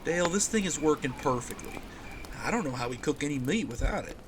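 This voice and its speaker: A man's voice: a little high, lively intonation, fairly quick, natural voice. A man playing a friendly, reassuring survivor and family man in a comic dub, speaking in an earnest, conversational way. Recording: noisy ambient background.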